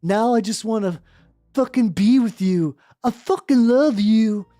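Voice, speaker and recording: A woman voicing a sad, lovesick goth teenage boy from a fanfiction story, with drawn-out mock anguish. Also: fairly high pitch, dark tone, no echo, clean studio sound, faint music behind, lightly acted.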